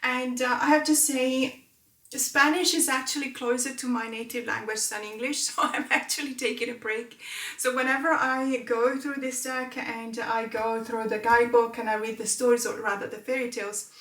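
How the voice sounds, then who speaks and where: A woman's voice: a little high, little pitch movement, normal speed, fairly bright tone. Chatty woman with an Italian first language. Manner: chatty, rambling, many fillers. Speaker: tarot channel host. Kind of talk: casual talk to camera. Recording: roomy echo.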